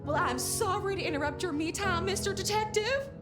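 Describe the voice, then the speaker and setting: Music plays behind a very high-pitched dame from a noir detective game. She is brassy and sharp-tongued, with a dramatic, indignant edge. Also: brisk. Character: agitated, brassy female client of a noir detective. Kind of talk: noir video game voice acting.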